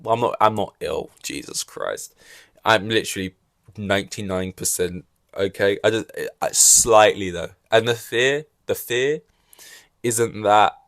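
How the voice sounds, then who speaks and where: A man's voice: lowish voice, unhurried, a bit bright, no echo, studio quality. A young man hosting a casual solo podcast, rambling with wry asides and a slightly scratchy throat. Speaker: podcast host.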